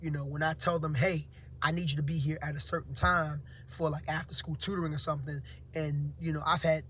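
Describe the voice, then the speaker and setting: A man who teaches, giving casual motivational advice to his viewers, slightly hoarse and half-awake, with frequent fillers and tags like "right?". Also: on the high side, flat delivery, fairly quick, bright, forceful tone, a little hiss or hum. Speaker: teacher and vlog host. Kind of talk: casual motivational talk to camera.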